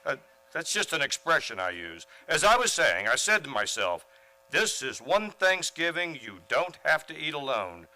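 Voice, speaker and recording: A shy, bumbling gentleman from an old radio comedy, acted out by a man. His voice is fairly high and very bright, with lively swings as he politely fumbles toward his point. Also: normal speed, full character voice, poor audio. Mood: earnest, hesitant. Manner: earnest, hesitant, polite. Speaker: actor in a community radio play.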